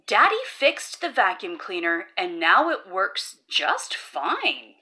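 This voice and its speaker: A woman's voice: mid-range voice, sing-song, slow, pushed and bright. A woman hosting a children's read-aloud, reading a rhyming picture book in a playful, sing-song way. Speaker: storybook read-aloud host.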